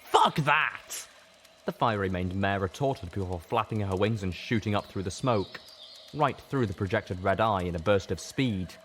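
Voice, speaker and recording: A man narrating a scripted first-person story in a dramatic, deliberate storytelling manner. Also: sits low, a little hiss or hum. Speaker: audiobook narrator.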